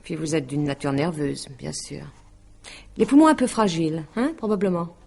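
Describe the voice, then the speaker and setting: An actress playing a courteous, hesitant woman who reads horoscopes, measured and polite, in a 1950s-style French radio drama. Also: low voice, sing-song, vocal fry, a little mellow, a little hiss or hum, exaggerated voice. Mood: studious. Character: courteous, hesitant woman translator and amateur astrologer.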